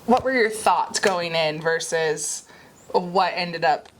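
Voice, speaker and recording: A woman hosting a heartfelt conversation, reflective and hesitant, with many pauses and "um"s. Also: lowish voice, background noise. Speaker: podcast host.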